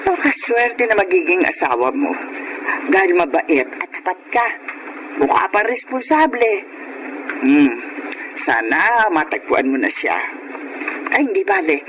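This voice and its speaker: A woman's voice: fairly low pitch, big pitch swings, measured pace, long pauses. A woman playing a grateful, generous, well-off businesswoman in Filipino radio drama, effusive and insistent. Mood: warm, apologetic. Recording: noisy radio drama recording.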